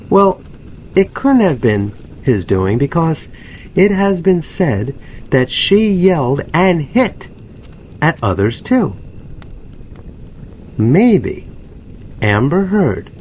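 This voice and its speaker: A man narrating a scripted dramatic story with deliberate, theatrical phrasing and pauses. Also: on the high side, gravelly, a little mellow, a little hiss or hum.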